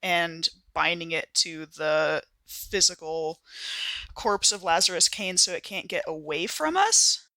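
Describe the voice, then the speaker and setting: An American woman talks casually as a player at a tabletop game. Her voice is bright and forward at a middling pitch, and she tends to think aloud, reworking ideas as she goes. The sound is close and dry. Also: animated, unhurried, no echo. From United States. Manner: tentative, trails off and reworks ideas mid-sentence. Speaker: player in an actual-play podcast. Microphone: close studio microphone.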